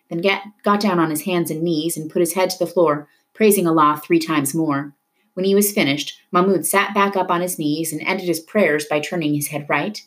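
A woman reading a novel aloud in an expressive storytelling style, dramatic at tense moments. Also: on the low side. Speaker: reader of a children's novel. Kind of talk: expressive read-aloud narration of a book.

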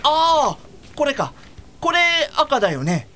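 An actress playing a blind art lover, casual, frank and gently exasperated, in an audio drama. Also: female voice, high-pitched, very animated, slow, a little hiss or hum, exaggerated voice. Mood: pondering. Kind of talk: Japanese audio drama acting.

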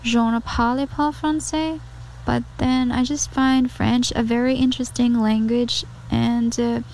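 A woman's voice: a little high, a little mellow, slight background noise, rough recording. A woman from the Philippines with a Filipino accent, giving an informal personal message.

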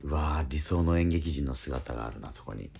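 A man co-hosting a radio show in casual chat, telling stories excitably with repeated emphatic words. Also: extremely low pitch, normal speed, creaky, soft background music. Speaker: radio show co-host and stage actor. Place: recorded radio programme.

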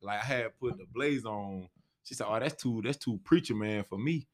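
A man's voice: fairly low pitch, moderate pace. An American man telling anecdotes casually in a group chat, acting out what people said as he goes. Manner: animated anecdotes, quoting others' dialogue. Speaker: stage play cast member. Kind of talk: casual storytelling in a group livestream.

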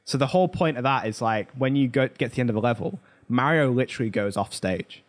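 A man's voice: medium pitch, lively intonation, quick pace. Man chatting casually as co-host. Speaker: podcast co-host. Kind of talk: casual pop culture discussion.

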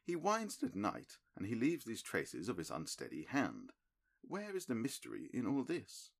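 A man reading an audiobook, voicing a calm, analytical middle-aged male detective who explains his reasoning in a crisp, precise, lecturing manner. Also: medium pitch, normal speed, voice pushed up. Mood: explaining.

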